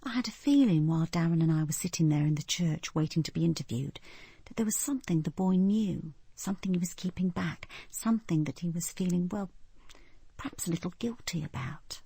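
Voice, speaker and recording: A woman voicing a timid, polite British spinster in a radio play, hesitant, breathy and apologetic. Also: fairly low pitch, expressive, fairly quick, dark tone, no room sound. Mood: hesitant, confiding. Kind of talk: radio drama acting.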